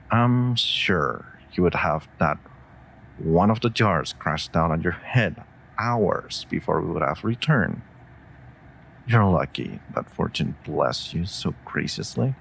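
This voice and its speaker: Man acting as a wolf familiar, slow delivery, creaky voice, calm, dry-humoured, loyal, fantasy audio drama. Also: sits low, full character voice. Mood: gently chiding. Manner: measured, gently reassuring, wry. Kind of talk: scripted roleplay audio drama addressed to listener.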